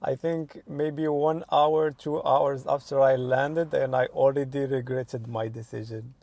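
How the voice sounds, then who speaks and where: Young man, low and gravelly. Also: fairly even intonation, a little slow, a little mellow. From Morocco. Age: 20s. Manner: hesitant, restarts often, uses "like" and "you know". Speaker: interview guest. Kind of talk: casual interview answers.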